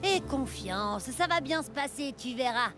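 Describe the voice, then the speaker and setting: A woman's voice: high-pitched, animated, moderate pace, over loud music. A woman playing a confident, helpful young boy seaplane pilot in a French cartoon. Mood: reassuring. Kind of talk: French cartoon dub acting.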